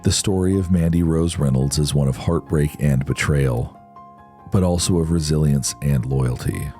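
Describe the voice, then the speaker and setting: A man's voice: a bass voice, normal speed, dark tone, faint music behind. A man reading scripted true-crime narration as the show's host.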